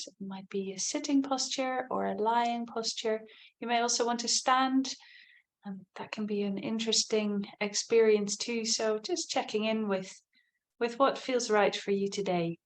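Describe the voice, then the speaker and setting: Gentle, unhurried woman guiding meditation. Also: a little high. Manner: gentle, unhurried, softly inviting, with long pauses. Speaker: meditation teacher. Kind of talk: guided body scan meditation.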